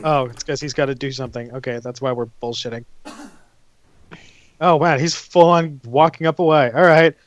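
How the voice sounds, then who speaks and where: A man's voice: mid-range voice, steady tone, normal speed, no room sound. A man hosting a casual podcast pre-show, joking and wisecracking, speaking through a gaming headset from home. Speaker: podcast host. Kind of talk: casual chat.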